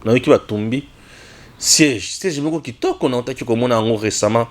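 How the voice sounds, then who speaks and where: Male political commentator, Congolese accent, medium pitch, informal and emphatic, drives points home by repetition. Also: brisk. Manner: emphatic, repeats phrases, asks rhetorical questions; switches between French and another language.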